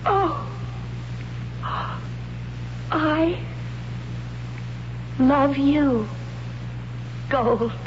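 High, hesitant, tender young tribeswoman. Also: extremely slow, pauses often. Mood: hesitant tenderness. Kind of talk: 1970s radio drama acting. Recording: muffled, noisy old radio recording.

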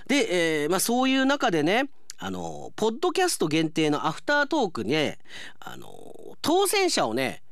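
A man hosting a radio show as announcer, talking conversationally and reading out listener mail in an animated way. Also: high voice, normal speed, creaky, dry recording, studio quality.

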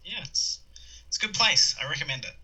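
A man's voice: fairly high pitch, lively intonation, a little fast, pushed and bright, husky, rough recording. A man in his thirties from New Zealand with a New Zealand accent, chatting casually with lots of fillers and restarts. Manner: rambling with many fillers and restarts, laughs easily. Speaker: guest. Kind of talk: casual conversation.

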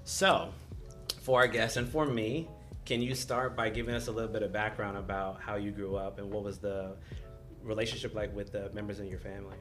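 A man's voice: lowish voice, little pitch movement, fairly quick, a bit bright, soft background music. A man hosting a podcast interview, friendly and encouraging, often giving short acknowledgements as his guest talks. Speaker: podcast host, counselor. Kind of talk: conversational interview.

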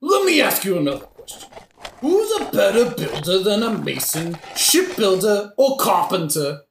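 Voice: medium pitch, big pitch swings. A performer playing a drunken, cheeky gravedigger who philosophizes and argues with mock logic in a modern comic audio drama. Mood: teasing. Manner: argumentative, mock-logical, joking, sometimes singing slowly. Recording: roomy echo, faint noise.

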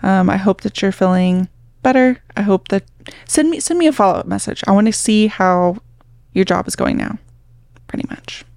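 Woman, podcast host, creaky voice, mid pitch, dry close sound, frank casual chat, nurse talking shop. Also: expressive, normal speed, a little mellow, no room sound. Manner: frank, conversational, swears casually.

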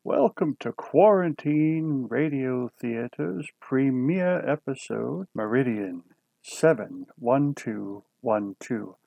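Gravelly, slow male radio announcer. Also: mid-range voice, expressive, warm tone, dry recording, low-quality recording. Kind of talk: old-time radio scripted announcement.

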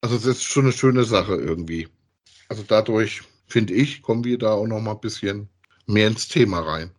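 Male podcast co-host, deep and husky, casual conversational delivery. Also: moderate pace. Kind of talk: casual chat about audio dramas.

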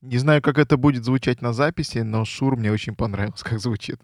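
A man with an average voice asks the guest questions as co-host. He speaks in a relaxed, curious way. The recording is dry, with the mic close to him. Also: medium pitch, a little fast, dry recording. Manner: inquisitive, conversational.

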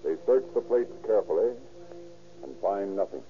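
A man's voice: fairly low pitch, lively intonation, normal speed. A man narrating a scripted police case story for an old radio crime drama, in a sober, dramatic style. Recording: tinny old radio recording. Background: music.

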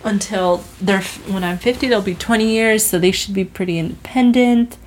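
A woman's voice: medium pitch, normal speed, darkish tone, a little hiss or hum, poor audio. A woman in her twenties chatting casually about her resolutions, telling a story with lots of fillers and restarts. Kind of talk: casual chat.

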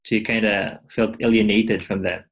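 A man's voice: fairly low pitch, level tone, moderate pace, low-quality recording. A man moderating a panel discussion, polite and a bit hesitant as he thanks guests and asks follow-up questions.

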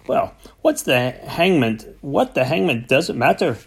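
A man voicing a miserly, furious older farmer, speaking in shrill, indignant outbursts and a hoarse wheedling whisper. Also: very animated, unhurried, a little hiss or hum, full character voice.

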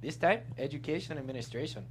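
Man playing a high, theatrical, lively vampire. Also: fast, lightly acted. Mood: triumphant. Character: overachieving, theatrical male vampire at a support group. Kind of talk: comedy script table read. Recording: faint background noise.

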